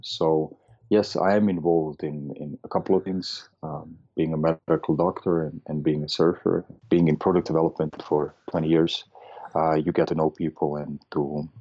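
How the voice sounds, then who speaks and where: A middle-aged man from Austria, a doctor and surfer, answering interview questions slowly with many fillers and restarts. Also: rumbling low, little pitch movement, mellow, lo-fi sound. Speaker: guest, medical doctor and surfer. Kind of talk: casual interview conversation.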